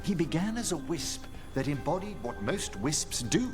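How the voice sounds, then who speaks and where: Game role: formal, scholarly older male necromancer, very animated delivery, music behind. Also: a little high, moderate pace. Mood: delighted, intrigued. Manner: formal, eloquent, marveling. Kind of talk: video game voice acting.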